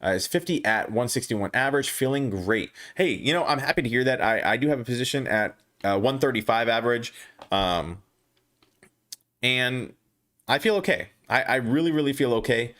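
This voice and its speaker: A young man hosting a casual stock livestream, reading viewer comments aloud and answering them. He talks haltingly with many restarts and fillers, and his voice is going hoarse. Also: medium pitch, lively intonation, fairly bright tone. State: losing his voice, clearing throat.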